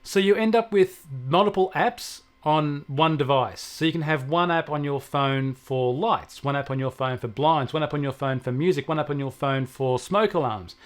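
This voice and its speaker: A man's voice: a little high, normal speed, hardly any pauses. A man explaining things conversationally as a technology co-host, telling anecdotes from his own work. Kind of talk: conversational tech discussion.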